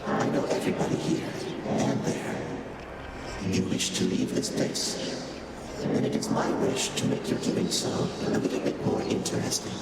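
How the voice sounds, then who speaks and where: An actor playing an unhurried, cryptic primordial being in a video game, with slow formal speech and an echoing effect on the voice. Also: male voice, on the low side. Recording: heavy echo, loud background noise.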